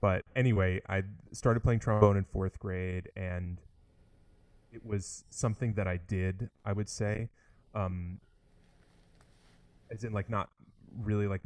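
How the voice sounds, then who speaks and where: Low, flat-voiced young man. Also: dry recording. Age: young adult. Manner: chatty and eager, many likes and restarts. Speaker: orchestral trombonist, interview guest. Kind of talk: casual interview conversation.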